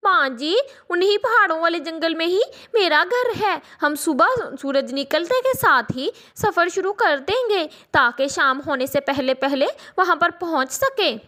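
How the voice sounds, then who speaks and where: Caring mother sparrow voiced by a woman, high sweet voice, slow, cartoon story. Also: expressive, pushed and bright, full character voice. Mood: eager.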